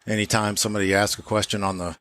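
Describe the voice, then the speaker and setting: A man's voice: lowish voice, level tone, quick pace, fairly bright tone, no room sound. A man speaking as an auto shop owner and guest, explaining things informally in a casual interview.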